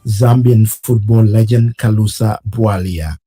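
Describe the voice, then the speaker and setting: A man presenting a weekly news program, reading his script in a measured, solemn way. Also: lowish voice, steady tone, music in the background. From West Africa.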